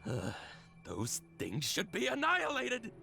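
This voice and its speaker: An actor playing a terrified, paranoid, half-crazed herb gatherer who stammers, in an anime-style video game. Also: male voice, high voice, huge pitch swings, measured pace, creaky, sharp tone, faint music behind, exaggerated voice. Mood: hateful, unhinged. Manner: stammering, panicky.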